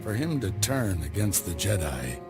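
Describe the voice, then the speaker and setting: An actor playing a grave older Jedi master who gives orders in a measured, commanding way. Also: male voice, low-pitched, sing-song, exaggerated voice. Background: game score music.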